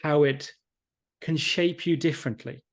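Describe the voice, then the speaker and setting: Man, 40s, English-Irish accent, slightly high voice, easygoing rambling host. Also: fairly even intonation, measured pace. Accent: mix of English and Irish. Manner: rambling, many fillers like "you know". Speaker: podcast host. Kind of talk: casual interview chat.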